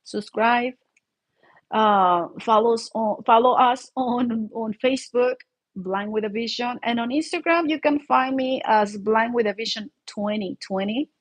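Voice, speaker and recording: A woman's voice: a little high, measured pace. A woman from Mexico with a Mexican accent and Spanish as her first language hosts an informal solo vlog, talking in a rambling, conversational way and often checking for agreement.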